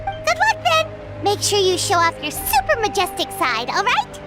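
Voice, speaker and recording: A woman voicing a tiny, excitable floating fairy companion who talks about herself in the third person, in game voice acting over loud music. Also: very high-pitched, normal speed, full character voice. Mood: teasing.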